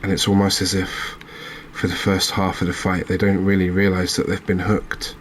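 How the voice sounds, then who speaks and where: A man giving quiet, unhurried ASMR-style commentary as he plays a video game, musing aloud with hesitant pauses. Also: sits low, monotone, slight background noise. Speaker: host of a gaming channel playing a fishing game.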